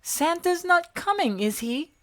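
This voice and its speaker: A woman voicing a guarded young girl with a soft heart in a children's storybook. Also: very high-pitched, very animated, unhurried, pitched above the performer's own voice, full character voice. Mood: sad.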